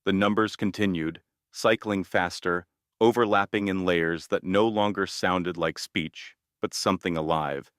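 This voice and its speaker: A man with a low voice reads a scary story. His delivery is measured and ominous, broken into short phrases. The sound is dry and close, with hardly any room in it. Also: fairly even intonation, fairly bright tone, no room sound. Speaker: horror story narrator. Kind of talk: scripted scary-story narration.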